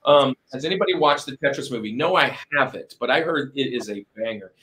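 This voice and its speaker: A man's voice: medium pitch, animated, a little fast, a bit bright, slight background noise. A middle-aged man hosting a podcast in casual, enthusiastic, informal chat. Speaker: podcast host.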